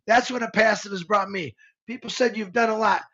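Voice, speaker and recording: Gravelly-voiced man in his fifties. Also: sits high, a little fast, bright, forceful tone, dull audio. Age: 50s. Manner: heartfelt, rambling, with many restarts and fillers. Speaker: co-host of a live show. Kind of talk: casual live-stream talk.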